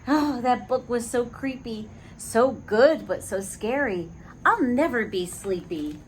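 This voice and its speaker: A woman voicing a bunch of excitable little monkey children at bedtime, squealing and giddy. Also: a little high, slow pace, slight background noise.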